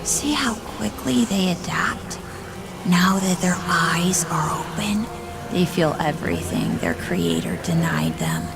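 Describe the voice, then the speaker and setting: A woman playing a seductive, sinister devil in a video game, arrogant and contemptuous with deliberate delivery. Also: lowish voice, sing-song, gravelly, music in the background, full character voice. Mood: gloating, seductive. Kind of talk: theatrical video game villain acting.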